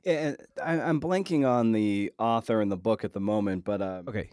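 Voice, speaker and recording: Guy podcast host, kinda low voice, dry sound, conversational, halting and repeats words while thinking. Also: a little mellow, clean tone, no room sound. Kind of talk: conversational interview.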